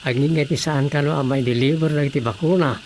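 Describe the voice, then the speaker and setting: A man playing a practical, questioning village colleague in an Ilocano Filipino radio drama, matter-of-fact, with a gravelly voice. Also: fairly high pitch, fairly even intonation, quick pace, a little mellow, a little hiss or hum, lightly acted. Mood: matter-of-fact, doubtful. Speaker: voice actor.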